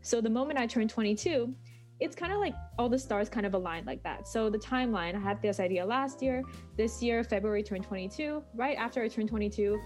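A woman's voice: on the high side, lively intonation, a little fast, a little mellow, faint music behind. Chatty young woman in her twenties. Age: 20s. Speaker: student podcaster, guest. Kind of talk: casual panel conversation.

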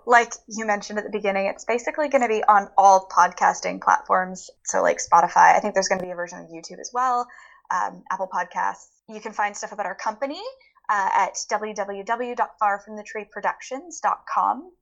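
Casual female interview guest, very bright voice, informal and emphatic delivery. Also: mid-range voice, brisk, creaky, dull audio. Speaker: interview guest, voice actor and audio play producer.

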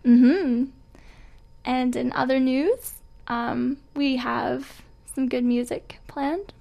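A woman's voice: high-pitched, takes it slowly, vocal fry, warm tone. A woman, co-hosting a morning radio show in casual chat. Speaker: radio co-host.

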